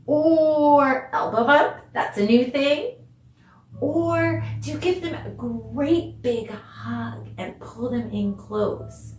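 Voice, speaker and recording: A woman teaching a children's online dance lesson, speaking in an encouraging, animated way with lots of questions and deliberate pauses. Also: sits high. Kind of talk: children's online arts lesson, addressing viewers. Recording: echoey room, distant mic, faint noise.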